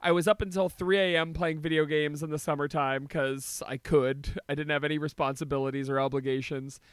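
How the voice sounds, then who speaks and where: A man's voice: on the high side, little pitch movement, fairly quick, clear, resonant tone, no room sound, studio quality. A man, probably in his thirties, co-hosting a podcast in casual comedic chat, joking and often laughing as he talks. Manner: jokey, laughs often while talking. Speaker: podcast co-host.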